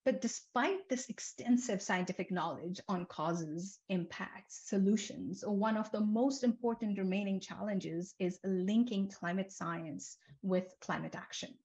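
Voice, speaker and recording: A young woman from Nepal presents a research seminar in a steady, middle-pitched voice. She moves through her points one by one and drops in small fillers along the way. The recording sounds a little dull and lo-fi. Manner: measured, list-like delivery with frequent um and uh fillers. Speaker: seminar speaker, climate researcher. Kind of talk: academic seminar talk. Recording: slightly dull lo-fi lecture audio.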